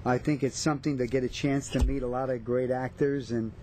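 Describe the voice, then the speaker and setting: Mellow New man, casual. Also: medium pitch, steady tone, brisk, a little hiss or hum. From New York/New Jersey area. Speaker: actor, interview guest. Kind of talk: casual interview chat.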